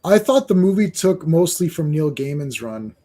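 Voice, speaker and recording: A man in his thirties in casual chat about comics, playful and quick to joke. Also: fairly high pitch, very animated, mellow. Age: 30s. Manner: playful, joking, quoting films.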